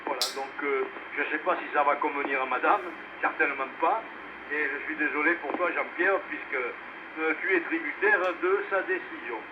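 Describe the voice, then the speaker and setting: A man hosting a casual amateur radio net, speaking in hesitant, halting phrases over a radio relay. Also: a little high. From France. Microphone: two-way radio microphone. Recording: noisy narrowband amateur radio relay transmission.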